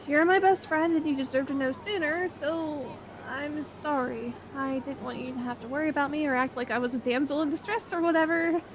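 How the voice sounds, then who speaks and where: A woman playing a sweet, bashful young woman talking to her best friend in an ASMR roleplay, expressive and a little hesitant. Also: high-pitched, normal speed, noisy background. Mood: tender, vulnerable. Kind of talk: ASMR roleplay audio drama.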